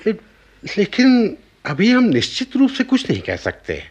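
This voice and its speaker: A cautious, reassuring doctor in a radio drama. His fairly high voice moves up and down a great deal as he explains. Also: wildly swooping pitch, a little slow, husky, highs cut off, heavily acted. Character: calm, cautious male doctor reassuring a family. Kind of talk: Hindi radio play acting.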